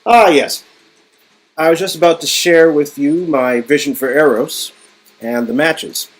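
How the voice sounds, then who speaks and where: A man's voice: a little high, unhurried. A man playing a smug, grandiose villain with a pompous, theatrical delivery in a story-game reading. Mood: grandiose, rapt. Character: smug, grandiose male villain boasting of his creation. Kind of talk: amateur dialogue reading of an interactive story game. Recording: music under the voice.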